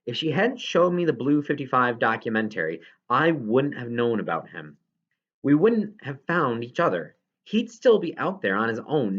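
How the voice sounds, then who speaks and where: Male audiobook reader for a children's novel: lowish register, animated delivery, dull recording. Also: husky. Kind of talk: scripted story narration of a children's novel in first person.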